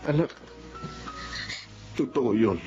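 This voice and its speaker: Devoted wounded father, Filipino accent, lively intonation, radio drama, muffled lo-fi sound with prominent music. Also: mid-range voice, slow. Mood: tender, hurt. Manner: emotional, earnest, dramatic delivery. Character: gentle, wounded, devoted father telling his life story.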